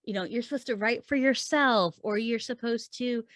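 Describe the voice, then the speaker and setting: Female podcast host-coach, slightly high voice, dry sound, conversational instruction with emphatic direct address. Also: moderate pace, a bit bright, no echo.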